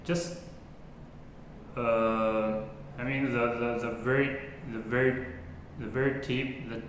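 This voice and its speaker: A man who is a non-native English speaker practising pronunciation informally, halting and often repeating and correcting himself. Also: medium pitch, flat delivery, a bit bright. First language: not English. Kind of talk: informal spoken practice log, repeating words after a recording. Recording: very echoey room, faint background noise.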